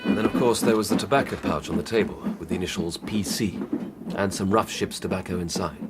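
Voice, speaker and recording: An actor playing an earnest, proud police detective in a radio drama, formal and methodical, with a dramatic way of telling things. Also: male voice, low voice, fast talker, over loud music, natural voice. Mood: businesslike. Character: earnest, proud male police detective reporting a grisly murder.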